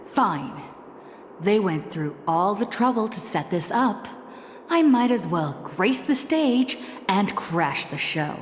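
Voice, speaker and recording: A woman's voice: mid-range voice, big pitch swings, takes it slowly, a little hiss or hum. A woman voicing a proud, defiant, coolly resolute character in a dramatic game scene. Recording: echoey hall with long reverb.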